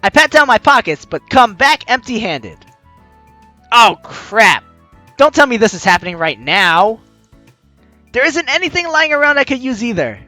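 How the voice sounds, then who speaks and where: A man's voice: extremely high pitch, normal speed, pauses often, dry recording. A man hosting a let's play, expressively reading game text aloud and commenting, on a close mic with music behind. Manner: expressive, acts out lines as he reads.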